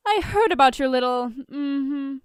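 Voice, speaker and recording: Story villain: smug billionaire man, high, taunting, drawn out; performed by a woman. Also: lively intonation, measured pace, no room sound, clean studio sound. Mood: disdainful.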